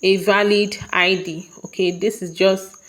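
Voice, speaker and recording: A woman's voice: medium pitch, little pitch movement, unhurried, fairly bright tone. A young woman with a Nigerian accent, patiently guiding viewers step by step through an online form and checking in with "okay?". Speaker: tutorial vlogger. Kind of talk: step-by-step screen walkthrough.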